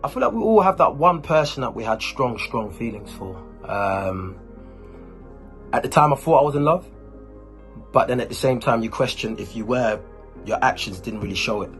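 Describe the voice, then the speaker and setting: A man's voice: medium pitch, lively intonation, normal speed, faint music behind. A man from Germany with Ghanaian roots, a YouTuber talking casually and informally, with urban slang, as he answers questions to camera. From Ghanaian, born and raised in Germany. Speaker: YouTuber, host.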